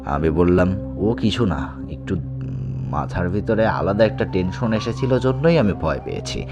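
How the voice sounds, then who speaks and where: Deep-voiced man telling horror stories over music. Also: lively intonation, a little slow. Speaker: horror story show host and narrator. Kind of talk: dramatic first-person horror storytelling. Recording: music bed under the voice. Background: background music.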